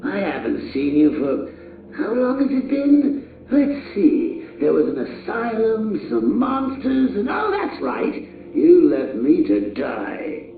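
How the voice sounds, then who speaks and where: Sneering criminal clown, gravelly, lively, slow, distant and echoey, tinny. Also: male voice, high voice, soft background music, heavily acted. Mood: mocking. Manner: sneering, sing-song taunts with bursts of laughter. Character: mocking, vengeful male criminal clown. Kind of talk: video game voice lines.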